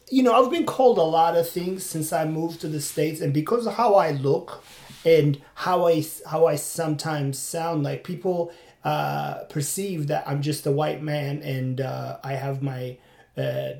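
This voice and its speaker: A man's voice: a little high, gravelly, a little mellow, roomy sound. A man from Turkey hosting a casual podcast monologue while running, rambling and reflective with many fillers. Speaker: podcast host and comedian.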